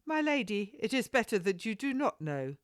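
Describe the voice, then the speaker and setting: A woman's voice: fairly high pitch, sing-song, normal speed, clear, resonant tone, dry recording, clean studio sound, pitched above the performer's own voice. A woman voicing a cocky, evasive male captain from a period storybook, with flowery formal speech. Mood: firm, evasive.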